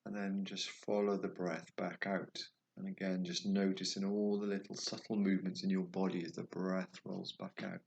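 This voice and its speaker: A man guiding a meditation, speaking slowly and softly, at times dropping toward a whisper. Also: sits low, little pitch movement, vocal fry, lo-fi sound. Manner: slow, soft and soothing, trailing off toward a whisper. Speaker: meditation guide. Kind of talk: guided meditation.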